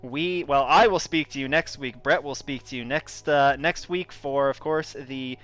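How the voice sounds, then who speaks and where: A male esports commentator closing out a racing broadcast. His voice is smooth and resonant, and he pads his lines with hesitant fillers as he thanks viewers. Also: mid-range voice, moderate pace, fairly bright tone. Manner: hesitant, filler-heavy, friendly sign-off delivery. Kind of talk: broadcast race commentary wrap-up.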